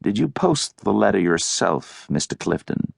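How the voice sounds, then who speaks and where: A man acts out an elderly lawyer from an audiobook, shrewd and coolly probing. The voice is low and creaky, with formal, deliberate phrasing and a dry, close sound. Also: sing-song, no echo, exaggerated voice.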